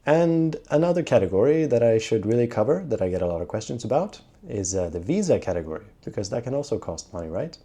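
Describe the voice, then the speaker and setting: A young man from Sweden talking casually to camera in a travel vlog, chatty and relaxed, with frequent filler sounds. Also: medium pitch, fast, few pauses, breathy edge. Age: young adult. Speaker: travel vlogger.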